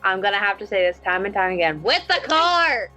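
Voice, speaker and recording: A woman with a bright, forward voice talks through a tabletop game in an animated, informal way, swearing freely. Her speech is casual and improvised, and music plays behind her. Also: mid-range voice, fairly quick. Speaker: player in a tabletop roleplaying game. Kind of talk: casual improvised game chat among friends.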